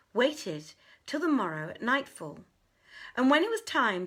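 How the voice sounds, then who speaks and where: A woman reading a literary tale aloud as a storyteller, with theatrical, deliberate and expressive phrasing. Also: mid-range voice. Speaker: storyteller, reader.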